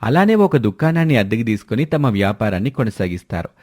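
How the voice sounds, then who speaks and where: A man's voice: on the low side, big pitch swings, fast talker, darkish tone. A man narrating a scripted moral story as a storyteller.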